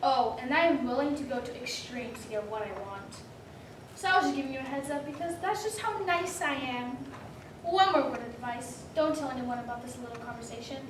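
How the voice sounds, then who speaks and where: A woman's voice: high voice, fairly quick, natural voice. A woman playing a smug, sarcastic teenage school bully with a taunting, mock-sweet delivery in a reader's theater performance. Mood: smug, menacing. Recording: distant mic in echoey room, faint noise.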